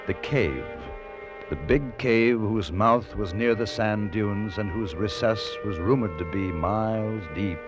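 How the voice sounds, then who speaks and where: An actor playing a grown man nostalgically recalling his boyhood, narrating a 1940s radio drama in a measured, reflective way. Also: on the low side. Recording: muffled old-time radio recording. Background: music.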